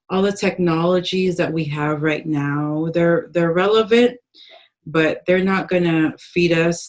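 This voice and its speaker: A woman from the northern Philippines, a guest in an interview, speaking slowly and thoughtfully with many pauses. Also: low-pitched. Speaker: guest, herbalist and organizer. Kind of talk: reflective interview talk. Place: home, in the Bay Area.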